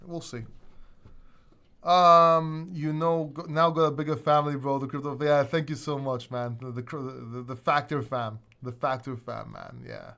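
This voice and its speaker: A man's voice: mid-range voice, steady tone, normal speed, creaky, pushed and bright. A middle-aged man from the UK with a mixed British and American accent, rambling and swearing casually as he hosts a livestream and answers viewers. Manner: rambling, swearing, lots of restarts. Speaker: crypto livestream host. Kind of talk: casual livestream chat reading viewer comments.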